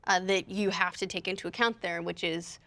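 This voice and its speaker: A woman speaking as a panelist in a casual discussion, giving opinions in a measured way. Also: on the low side, flat delivery, fairly bright tone.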